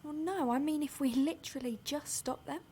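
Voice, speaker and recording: A woman playing a soft, earnest protester in an audio drama, breathy and tentative. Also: high-pitched, fairly quick, lightly acted. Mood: tentative, hopeful.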